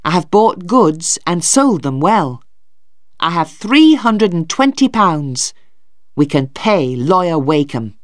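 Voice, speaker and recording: A man voicing a stern, proud, dutiful young son in a storybook, speaking firmly and deliberately. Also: a little high, big pitch swings, no echo, clean studio sound. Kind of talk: audiobook character dialogue.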